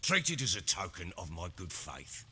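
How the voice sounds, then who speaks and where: An actor playing a blustering middle-aged warlord baron in a video game, with a gruff, husky voice and a Northern English accent. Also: male voice, sits low, sing-song, moderate pace, sharp tone, full character voice. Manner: blustering, emphatic. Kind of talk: video game character acting. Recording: hall reverb, music underneath.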